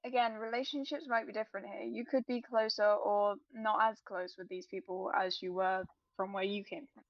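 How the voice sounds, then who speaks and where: A woman's voice: medium pitch, steady tone, moderate pace, dry recording. A woman playing a tabletop role-playing game, talking things through casually in character with the other players. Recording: dull lo-fi dry voice recording.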